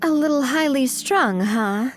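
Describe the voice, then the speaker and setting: Poised young woman, high lively voice, friendly, thoughtful, long reverb, game dialogue. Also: measured pace, soft background music. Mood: wry, light. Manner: thoughtful, explanatory.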